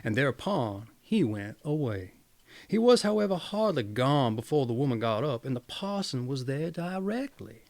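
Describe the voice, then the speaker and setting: A man reading a fairy tale aloud in an animated storytelling style. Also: mid-range voice, normal speed.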